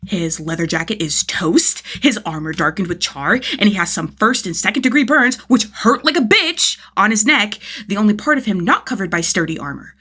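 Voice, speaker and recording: A woman's voice: medium pitch, expressive, moderate pace, few pauses, sharp tone, husky. A woman narrating fan fiction as a scripted audiobook-style reading, with a dramatic, emphatic delivery. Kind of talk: expressive scripted story narration.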